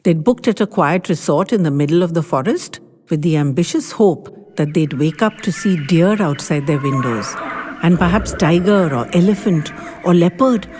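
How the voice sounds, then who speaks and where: A woman's voice: lowish voice, lively intonation, normal speed, mellow, slightly rough. A woman telling it as a bossy grandchild storyteller. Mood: storytelling. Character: boy grandchild, confident master storyteller. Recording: long hall reverb.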